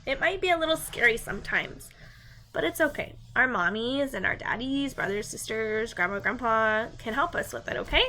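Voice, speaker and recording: A woman's voice: a little high, expressive, moderate pace, bright, forceful tone, slight background noise, rough recording. A woman reading a picture book aloud to young children and chatting to them in simple, encouraging language.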